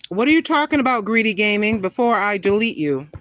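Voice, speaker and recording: A woman in her sixties chatting casually with viewers on a live stream, greeting them by name and talking her thoughts through out loud. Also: mid-range voice, sing-song, brisk, poor audio. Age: 60s. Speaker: vlogger greeting live chat.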